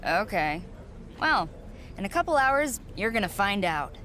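A woman voicing a determined young female rookie wrestler in a video game, answering calmly and confidently with dry replies. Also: medium pitch, unhurried, a little hiss or hum. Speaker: player character.

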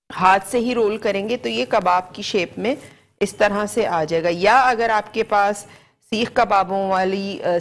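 Woman chef with an Urdu accent. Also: mid-range voice. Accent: Pakistani Urdu. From Pakistan. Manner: patient step-by-step instruction, repeats each ingredient. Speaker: TV cooking show chef. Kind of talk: live TV cooking demonstration. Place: TV studio kitchen set.

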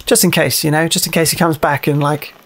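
A man hosts a casual let's-play in a creaky, slightly higher voice. He is chatty and jokey, often muttering to himself and swearing as he plays. Faint game ambience sits behind him. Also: steady tone, brisk, a bit bright, slight background noise. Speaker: gaming show host, playing the game.

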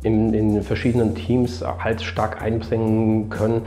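A man's voice: lowish voice, completely flat delivery, measured pace, mellow, faint music behind. A man in his thirties, a nurse, answering interview questions earnestly in long fluent sentences. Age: 30s. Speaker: nurse and team coordinator. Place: indoors before a plain backdrop. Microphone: lapel mic.

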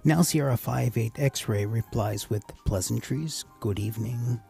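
A man with a gravelly, husky voice giving a casual, explanatory tutorial voice-over as the host of a hobby channel. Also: medium pitch, measured pace, mellow, soft background music. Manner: casual, addresses viewers as guys.

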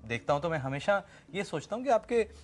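A middle-aged man hosting a TV cooking show, explaining each step calmly with small pauses, recorded on a lapel mic in a studio kitchen. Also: high voice, soft background music. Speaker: TV chef and host. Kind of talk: cooking show demonstration with chat.

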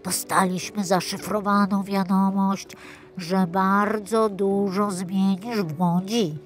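A woman playing a slow, mysterious magical little fish. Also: mid-range voice, steady tone, soft background music, natural voice. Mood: solemn, mysterious. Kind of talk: children's audiobook acting.

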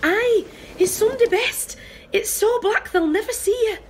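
A woman's voice: very high-pitched, measured pace, a little hiss or hum. A woman playing an earnest, wistful, timid Scottish farmer's wife in a homemade radio play. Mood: urgent, pleading. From Glasgow, Scotland.

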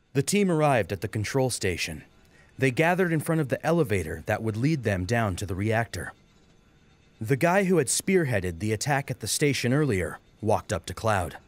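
A man's voice: on the low side, no echo. A man narrating a scripted dramatic story as an audiobook.

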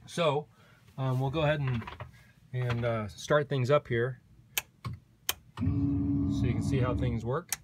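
A man giving a relaxed, matter-of-fact walkthrough of his basement home flight simulator, filmed on a handheld camera. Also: medium pitch, slow, long pauses, heavy background noise. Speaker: flight sim hobbyist giving a tour. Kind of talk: casual walkthrough narration. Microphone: handheld camera mic, moving around.

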